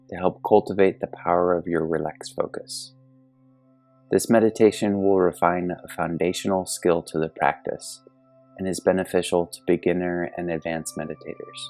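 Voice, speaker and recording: A man leading a guided meditation as an instructor, speaking gently and calmly with measured pauses. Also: sits low. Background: soft meditation music with singing bowl tones.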